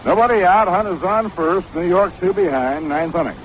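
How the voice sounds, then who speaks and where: An American man calling baseball play-by-play in 1960s radio style, with a measured, unhurried, factual delivery. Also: high-pitched, animated. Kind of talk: 1960s live radio sports commentary. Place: ballpark broadcast booth. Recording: dull, noisy 1960s AM radio broadcast.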